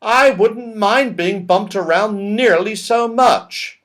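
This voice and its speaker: A man voicing an excitable, loud, eager fellow from a children's storybook, given to shouting and exclaiming. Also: high voice, slow, voice pushed up.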